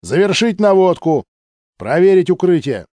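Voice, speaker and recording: A man's voice: high-pitched, very animated, normal speed, mellow, dry recording, voice pushed up. A man voicing a military launch officer who gives clipped, commanding orders in an audiobook. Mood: commanding, urgent.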